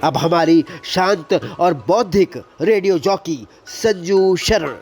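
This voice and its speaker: A man's voice: high voice, slow, darkish tone, breathy edge, soft background music. A man making scripted announcements to introduce radio presenters.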